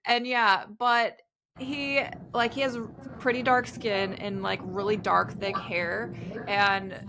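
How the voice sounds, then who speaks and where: A woman hosts a podcast interview, sounding bubbly and eager as she chats with her guest. Her voice sits at a middling pitch and has a light, gravelly fry running through it. She moves easily from polished introductions into loose, friendly conversation. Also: steady tone, slow, bright, forceful tone, slight background noise, rough recording. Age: adult, married. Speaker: podcast host. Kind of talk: casual interview chat.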